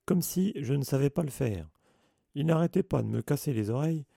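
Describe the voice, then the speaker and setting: A man voicing a huge, gruff, blunt gamekeeper, growling his lines. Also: a little high, expressive, brisk, dark tone, dry recording, clean studio sound, pitched above the performer's own voice, full character voice. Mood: grumbling.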